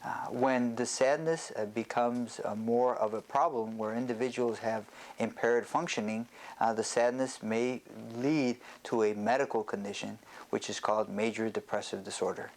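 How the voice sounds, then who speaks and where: A man speaking as a psychiatrist presenting an educational health talk, explaining in a measured way with hesitant pauses. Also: medium pitch, steady tone, rough recording.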